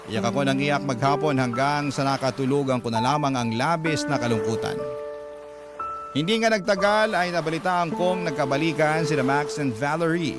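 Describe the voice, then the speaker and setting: Male radio drama narrator, slightly high voice, dramatic first-person letter storytelling, prominent music bed. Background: loud background music.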